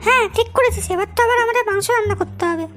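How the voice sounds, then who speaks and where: A man voicing a sharp-tongued, brash young woman in an amateur comedy cartoon, with an artificially raised voice. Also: extremely high pitch, rapid-fire, faint music behind. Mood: scolding. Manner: brash, scolding, foul-mouthed.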